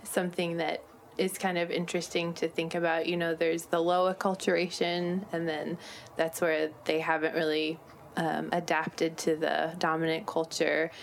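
Female interview host, lowish register, conversational and a bit halting, noisy recording. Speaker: podcast host. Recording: clear steady background noise.

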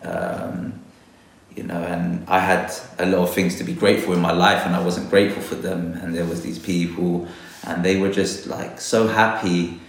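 Very low-voiced london guy, halting and reflective, lots of ums, echoey room. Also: expressive, gravelly. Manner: hesitant, many fillers, reflective. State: a little nervous. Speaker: guest botanist. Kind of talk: casual interview chat.

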